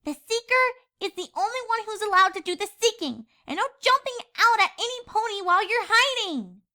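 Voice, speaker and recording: A sweet young unicorn filly speaks in a piercingly high, bright voice. She is easily annoyed, with an indignant, grumbling edge suited to a cartoon storybook. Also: animated, a little fast, exaggerated voice.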